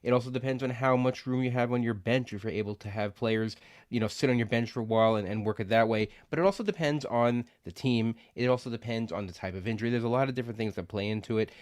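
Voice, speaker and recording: A man's voice: medium pitch, fast talker, dry recording, clean studio sound. A man co-hosting a sports podcast in casual chat, loose and hedging, often repeating words as he thinks. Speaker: podcast co-host.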